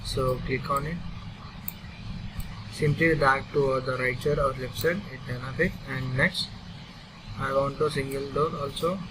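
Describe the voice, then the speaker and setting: A man with an Indian accent narrating a step-by-step software tutorial in short, halting, instructional phrases. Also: medium pitch, steady tone. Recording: distant mic, loud vehicle-like background noise.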